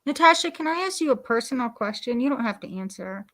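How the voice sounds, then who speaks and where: A woman's voice: a little high, animated, fast, fairly bright tone, dry recording. A woman in her forties chatting in a bubbly, talkative way on a group call. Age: 40s. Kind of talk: casual group video chat.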